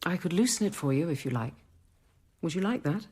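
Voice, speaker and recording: Low, husky, patient nun. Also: female voice, animated. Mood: gentle, measured. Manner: measured, gently instructive. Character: patient, devout middle-aged convent nun and apothecary. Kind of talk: radio drama acting.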